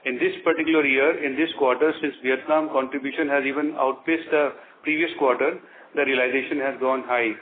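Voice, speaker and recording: A man's voice: medium pitch, steady tone, a little fast. A man with an Indian accent explaining business figures off the cuff as a finance executive on an earnings call. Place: conference call. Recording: thin phone line with noise.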